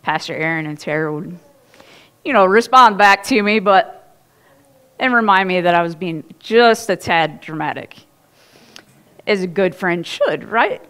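A woman's voice: lowish voice, measured pace, long pauses, clean tone. A woman pastor in her thirties, preaching a sermon in a casual, storytelling way on a church stage. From Ohio. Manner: chatty, animated storytelling with laughs and asides.

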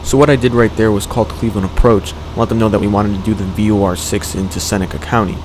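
A man's voice: on the low side, fairly even intonation, fast, noisy background. A young man, a student pilot, giving an explanatory voice-over for a flying video. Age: young adult. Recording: voice over background music.

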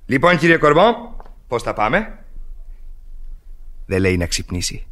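A man's voice: medium pitch, sing-song, fairly bright tone. An actor playing a shrewd, jovial male police inspector in an old radio drama, who hesitates and fills his speech with sounds. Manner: hesitant, with many filler sounds. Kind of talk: 1970s Greek radio drama acting. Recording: noisy old radio recording.

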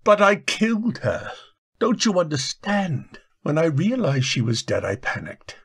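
An actor playing a guilt-ridden, anguished husband in a radio drama, speaking haltingly as emotion breaks through. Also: male voice, a little high, sing-song, a bit bright, husky, heavily acted. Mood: despairing. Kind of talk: old-time radio drama acting.

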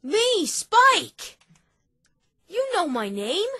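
A woman's voice: very high voice, sing-song, very slow, pushed and bright, dry recording, heavily acted. A woman voicing a cocky, playful little boy dragon assistant in a childlike cartoon fan dub. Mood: boastful, exasperated.

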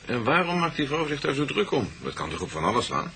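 An actor playing a businesslike, probing chief inspector in a Dutch radio police drama, questioning and giving orders. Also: male voice, mid-range voice, big pitch swings, fast talker, sharp tone, slight background noise.

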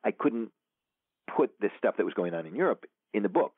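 A man being interviewed as an author, talking in a halting way with many fillers and restarts. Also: lowish voice, very animated, no room sound. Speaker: author guest. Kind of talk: remote interview answers. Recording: tinny phone line.

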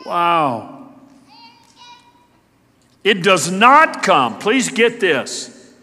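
A middle-aged man preaching a sermon to a church congregation, talking emphatically and swinging between loud declarations and hushed asides. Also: sits high, long reverb tail. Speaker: pastor. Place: church sanctuary.

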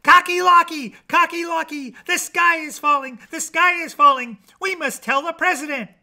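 A man voicing a dim, panicky female chicken in a storybook, shouting frantically. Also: very high-pitched, normal speed, voice pushed up, heavily acted. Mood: panicked. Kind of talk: storybook character voice.

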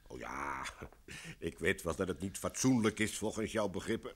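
An actor playing a brash, jovial film director in a Dutch radio play, talking bluntly and informally. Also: male voice, sits low, expressive, moderate pace, slightly rough, heavily acted. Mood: chatty, blunt.

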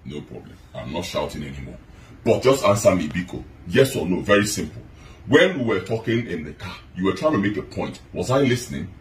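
Man, Nigerian accent, big voice, insistent, lively pitch, distant echoey phone recording. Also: brisk, slight background noise. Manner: insistent, repeats his questions. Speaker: husband in a couple video. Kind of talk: heated domestic argument. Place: indoors at home. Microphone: phone camera in a split-screen video.

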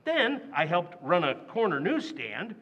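A man acting a loud, indignant, stingy working-class father in an old-time radio comedy recreation. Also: high-pitched, big pitch swings, unhurried, muffled recording, exaggerated voice. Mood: blustering. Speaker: radio actor.

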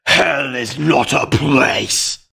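A man voicing an ancient, monstrous creature, speaking in a loud, slow, rumbling chant. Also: full character voice. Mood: ominous. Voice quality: rumbling, gravelly. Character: ancient monstrous creature in a pony filly's body. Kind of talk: storybook reading.

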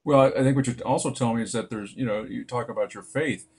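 Older male host, halting and encouraging, laptop call sound from a bright room. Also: medium pitch, slightly rough. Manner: halting, with many restarts and fillers, supportive. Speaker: interviewer. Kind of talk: casual interview over video call.

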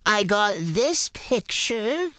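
A teenage girl chatting casually while unboxing a haul, gushing and excitable with lots of fillers. Her voice runs through a voice changer effect, recorded on a handheld phone in her bedroom. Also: mid-range voice, drawn-out words, dry recording. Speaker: vlogger showing a haul.

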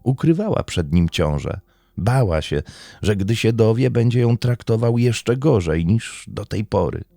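Deep, gravelly male true-crime narrator. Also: lively intonation, dark tone, no echo, studio quality. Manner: measured, serious storytelling. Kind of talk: scripted documentary narration.

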